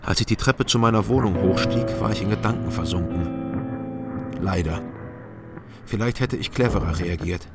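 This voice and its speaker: Low-voiced terse crime drama narrator. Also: male voice, normal speed, long pauses, over loud music. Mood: terse, wry. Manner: terse, clipped first-person telling in short fragments. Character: wry East German man recounting his own interrogation, anxious when questioned. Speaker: narrator and protagonist.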